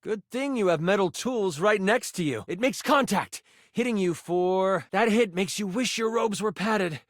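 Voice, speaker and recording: An actor playing a theatrical game master who narrates a fantasy adventure with suspense. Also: male voice, high voice, animated, normal speed, no room sound, studio quality. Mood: excited. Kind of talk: dramatic second-person scripted narration.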